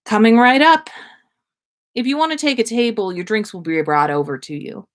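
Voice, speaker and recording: A woman voicing an obliging, businesslike bartender in a story, with a brisk service manner. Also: fairly high pitch, natural voice.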